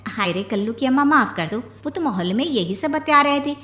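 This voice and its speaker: A woman acts a gossipy cartoon neighbour woman who loves to needle. Her voice is high and sharp, with some echo on it. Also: animated, brisk. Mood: nosy. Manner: gossipy, teasing. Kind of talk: cartoon story voice acting.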